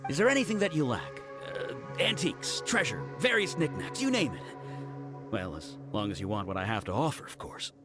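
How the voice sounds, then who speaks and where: A man's voice: medium pitch, wildly swooping pitch, a little fast. An actor playing a polite, grateful male antiques merchant in a video game, speaking in a formal, salesmanlike way. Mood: eager, salesmanlike. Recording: game music behind the voice.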